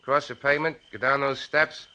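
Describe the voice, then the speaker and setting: An actor playing a plain-spoken, watchful cab driver with folksy speech in an old radio drama. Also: male voice, mid-range voice, level tone, normal speed, sharp tone. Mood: matter-of-fact, cautioning. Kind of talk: 1940s radio acting. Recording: noisy old radio recording.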